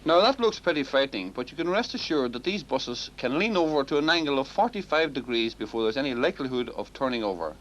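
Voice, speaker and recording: A man's voice: mid-range voice, animated, fast, hardly any pauses, fairly bright tone. A man, a driving instructor, giving formal demonstration commentary on a 1960s film recording. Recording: muffled, noisy 1960s film sound.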